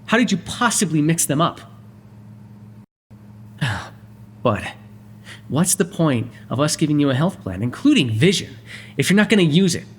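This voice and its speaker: Man acting a wry mobster with animated pitch. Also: normal speed, long pauses, husky. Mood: exasperated. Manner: sardonic and conversational, swinging from exasperated to soft. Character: wry, courteous, exasperated male mafia boss, charming. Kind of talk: ASMR roleplay voice acting.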